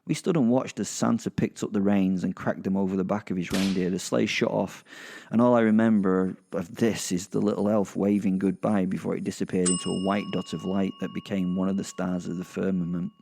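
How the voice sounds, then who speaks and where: A man telling first-person dark comedy horror stories in a sardonic, conversational, sweary manner as a petty werewolf. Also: sits low, steady tone, fairly quick, creaky. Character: petty, sardonic werewolf narrating his own misdeeds. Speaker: podcast host and storyteller narrating in character.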